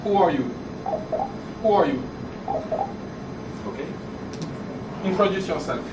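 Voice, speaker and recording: A man from France with a French accent, presenting at a developer meetup, talking fast and halting with many restarts. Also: a little high, lively intonation, heavy background noise. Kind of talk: live conference talk. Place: meeting room. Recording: echoey room, far from the mic, loud air conditioning.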